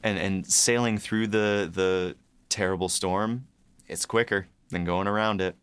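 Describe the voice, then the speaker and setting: A man's voice: low voice, fairly even intonation, unhurried, a bit bright, clean tone, no echo. A man co-hosting a podcast, chatting casually about writing in a hesitant, rambling way, often repeating words as he thinks. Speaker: writer and co-host of a behind-the-scenes podcast. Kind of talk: casual chat, reflecting on writing.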